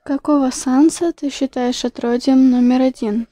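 A woman reads questions to a comic-dub character. Her voice is high yet extremely mellow, recorded dry with no room around it. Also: fairly even intonation, normal speed, dry recording. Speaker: question reader.

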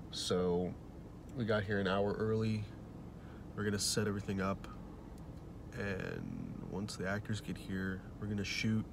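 A man's voice: lowish voice, background noise. A young man with a cold talks casually to a phone camera in a parked car, offhand and joking about his film shoot. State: stuffy nose from a cold. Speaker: film director. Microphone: phone held at arm's length.

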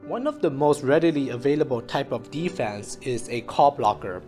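Guy reading out his research project, formal n measured, normal pitch, music in the back. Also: lively intonation, darkish tone. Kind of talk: scripted narration, explainer presentation. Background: instrumental music.

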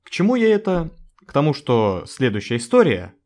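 A man's voice: on the high side, wildly swooping pitch, normal speed, a little mellow. A man giving a scripted video narration in a wry, joking, informal way, with some swearing.

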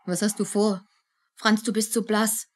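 A woman's voice: mid-range voice, level tone, unhurried, lightly acted. Anxious, hesitant young woman, played by an actress. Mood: frightened. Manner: short, hesitant, fearful phrases. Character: anxious young woman, uneasy lover of a soldier. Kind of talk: radio play acting.

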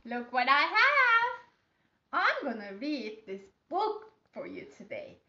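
A woman's voice: high voice, huge pitch swings, takes it slowly, pushed and bright, lo-fi sound. A woman in her thirties reading a picture book to young children in simple phrases, recorded on a phone in a living room. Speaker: storytime teacher reading to children. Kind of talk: expressive picture-book read-aloud.

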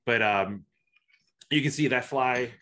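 A man's voice: medium pitch, slow pace, fairly bright tone. A man giving an online slide presentation over a video call, talking hesitantly with many fillers and restarts. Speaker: guest presenter.

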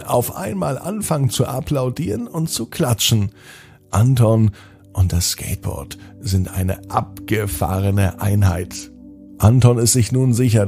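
A man telling a children's bedtime story in a slow, soothing, softly spoken way. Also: mid-range voice, vocal fry. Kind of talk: gentle bedtime story narration.

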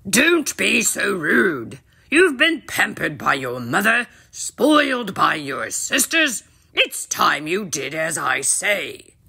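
A woman acts an exasperated royal father from a children's storybook. The king's voice is husky and creaky, with slow, forceful phrasing. He scolds and commands sternly. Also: mid-range voice, big pitch swings, heavily acted. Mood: scolding.

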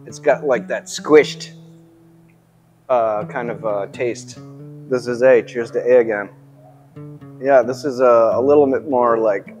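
A man's voice: mid-range voice, a little mellow. Man, casual taster, chatty and playful, faint music behind. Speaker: coworker taste tester. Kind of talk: casual tasting comments. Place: brewery taproom. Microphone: lapel mic.

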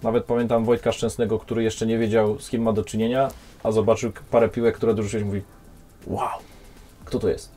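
Talkative 30s male host, mellow low-bright voice, studio interview. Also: level tone, a little fast, soft background music. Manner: talkative, reflective questions. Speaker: podcast host. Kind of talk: casual interview chat. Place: small studio with brick wall.

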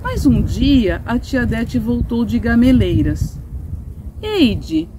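A woman's voice: fairly high pitch, expressive, takes it slowly, noisy background. A middle-aged woman with a Brazilian Portuguese accent reading a children's story expressively and in simple, explanatory terms. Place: outdoors in a sunny garden patio, seated.

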